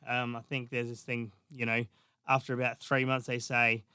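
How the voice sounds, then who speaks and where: A bodybuilder, talking casually in an interview, laid-back with frequent fillers. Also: male voice, mid-range voice, level tone, moderate pace, sharp tone, smooth, no echo, clean studio sound. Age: 30s. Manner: laid-back, lots of fillers like "you know" and "man".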